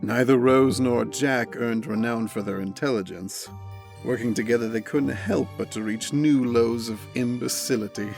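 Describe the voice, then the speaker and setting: A man's voice: medium pitch, moderate pace, creaky, a little mellow, soft background music, close to an everyday voice. A man playing a haughty, sneering aristocrat in a comic dub, florid and condescending. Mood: scornful.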